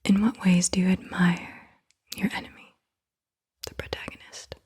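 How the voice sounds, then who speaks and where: A woman hosting ASMR, speaking softly and deliberately in a breathy whisper. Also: on the low side, flat delivery. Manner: soft-spoken, deliberate questioning. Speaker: ASMR host.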